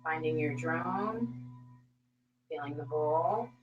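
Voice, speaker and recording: A woman leads a live online class at an unhurried pace, encouraging and filling pauses with ums and uhs. Her voice sits back from the mic in a roomy space, and it turns soft and slow when she guides. She is recorded in a home studio. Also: deep voice, expressive, far from the mic, highs cut off, soft background music. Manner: soft, slow guiding during the exercise. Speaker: sound healing teacher.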